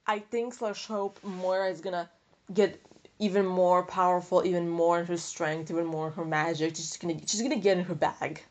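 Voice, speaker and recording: Casual, opinionated woman with a lowish voice. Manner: rambling, opinionated, thinking aloud; informal, slangy, freely swearing. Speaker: book review podcast host. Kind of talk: casual unscripted book discussion.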